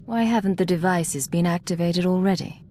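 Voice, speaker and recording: An actress playing a cool, determined imperial secret agent in a video game, with a terse, confrontational manner. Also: female voice, on the low side, moderate pace, warm tone, a little hiss or hum, lightly acted. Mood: cool resolve.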